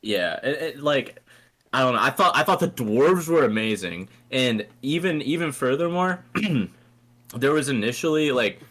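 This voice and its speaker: A man's voice: medium pitch, lively intonation, unhurried, fairly bright tone. A man co-hosting a podcast in casual chat, rambling and hesitant with frequent restarts. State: coughing, throat irritated. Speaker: podcast co-host.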